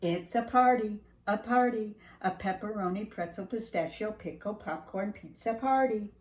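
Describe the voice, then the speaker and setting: An older woman reading a picture book aloud to young children in an animated, sing-song way, speaking right to them. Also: medium pitch, moderate pace, reverberant, slight background noise. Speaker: preschool staff storyteller reading to children.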